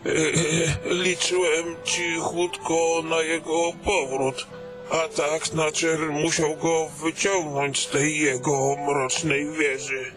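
A man's voice: a little high, little pitch movement, takes it slowly. A man playing a fussy, chatty old fantasy mapmaker in an audio drama, hesitating and stammering as he mumbles along. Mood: fussy, distracted. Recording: background music under the voice.

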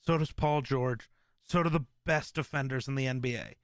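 Smooth-voiced male streamer, rambling chat. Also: mid-range voice, moderate pace, no room sound, clean studio sound. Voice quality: relaxed, smooth voice. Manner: rambling, with many fillers and restarts. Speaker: streamer host. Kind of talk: casual live-stream chat.

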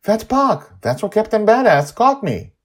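An eager young adventurous girl, acted by a man, pitched far up and swooping excitedly. She exclaims everything with wide wonder, in storybook style. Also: a little slow, exaggerated voice. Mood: fond, eager. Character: excitable eleven-year-old adventurous girl.

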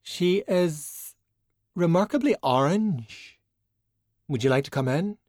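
A male audiobook reader performs a refined, silky woman of great poise. The words come measured and formal, with wry, proud self-pity. The recording is dry, with no room sound. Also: on the high side, lively intonation, a little mellow, no echo, voice pushed up. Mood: composed, wry. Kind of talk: audiobook character dialogue.

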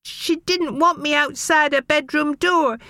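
A woman's voice: fairly even intonation, measured pace, bright, forceful tone, dry recording, clean studio sound. A woman voicing a young boy who pleads tearfully with his parents. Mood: pleading. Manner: pleading, high childish voice.

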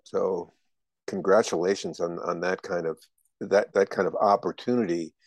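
A male podcast host who welcomes his guest effusively, often stumbling over and repeating his words. His voice is lowish and sounds dry and close, with no room around it. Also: steady tone, moderate pace, dry recording. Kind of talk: casual podcast chat.

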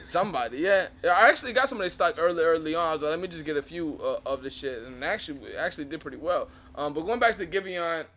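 A man's voice: on the high side, expressive, fast talker, few pauses, sharp tone, slight background noise. A young man in his twenties co-hosting a podcast, chatting loosely with profanity and frequent laughter. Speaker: podcast co-host. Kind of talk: casual chat.

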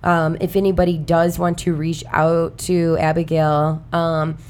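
A woman's voice: low voice, level tone, measured pace, a little mellow. Chatty young woman podcast host. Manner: chatty, lots of fillers like "um" and "like". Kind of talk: casual podcast interview.

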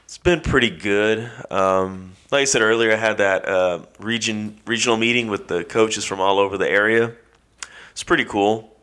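A man's voice: on the low side, normal speed, a bit bright, clean tone. A young man co-hosting a podcast, talking bluntly, his voice stuffed up from allergies. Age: young adult. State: congested from allergies. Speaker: podcast co-host. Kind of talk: casual chat.

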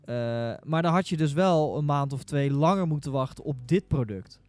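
A man in a casual podcast discussion who starts haltingly and repeats his words. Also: on the high side, darkish tone, dry recording, soft background music. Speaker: podcast panelist.

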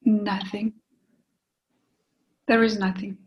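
A woman in a play reading, playing a regretful, resigned lover who is gently breaking things off in a restrained, apologetic way. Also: mid-range voice, flat delivery, slow pace, muffled recording, natural voice. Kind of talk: readers theatre play reading.

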